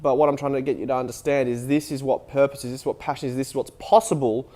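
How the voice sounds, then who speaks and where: A man's voice: mid-range voice, level tone, quick pace, dark tone. A man from Australia with a head cold, talking casually and motivationally to camera about online business, nasal and rambling with many restarts. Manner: rambling, with frequent restarts and fillers.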